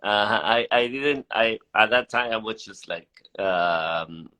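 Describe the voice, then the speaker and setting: A man's voice: on the low side, slow pace, sharp tone. A man hosting a casual interview, often hesitating and rephrasing as he asks his questions. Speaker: interview host, festival artistic director.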